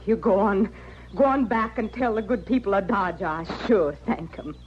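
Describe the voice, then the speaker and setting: A woman's voice: medium pitch, moderate pace, slight background noise, natural voice. On a dull vintage radio recording, a proud frontier widow played by a woman speaks in rustic, folksy phrases. She sounds stubborn and wounded, in the manner of old western radio drama. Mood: bitter, defiant.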